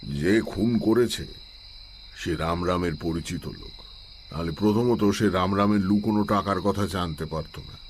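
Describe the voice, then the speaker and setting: A learned village holy man in a radio drama, his voice low and unhurried in manner. He is calm and shrewd, weighing each thought like a teacher. Night insects sound steadily behind him. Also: a little hiss or hum. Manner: measured, philosophical, chanting Sanskrit verses slowly. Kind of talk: Bengali audio-drama acting.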